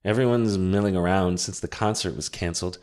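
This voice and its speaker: A man voicing a calm, steady female witch in an audiobook, speaking in a measured, reassuring way. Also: sits low. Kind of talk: audiobook character voice.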